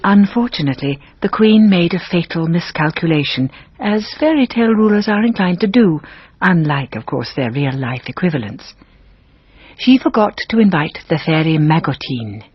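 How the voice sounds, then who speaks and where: Husky, wry aristocratic countess. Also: female voice, fairly low pitch, expressive, highs cut off. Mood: storytelling, ironic. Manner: deliberate, aristocratic, drily ironic. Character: worldly, wry French countess and storyteller. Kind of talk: radio drama dialogue and storytelling.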